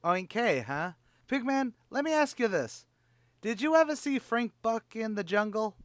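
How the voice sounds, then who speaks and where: Man acting a high-pitched, blustery tavern bluffer. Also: very animated, unhurried, a bit bright, dry recording, clean studio sound, heavily acted. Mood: showing off. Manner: boastful, stammering, ungrammatical. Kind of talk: 1940s radio comedy acting.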